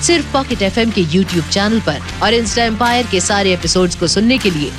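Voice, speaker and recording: A woman's voice: medium pitch, animated, moderate pace, over music. A woman narrating a scripted drama story in a dramatic storytelling style.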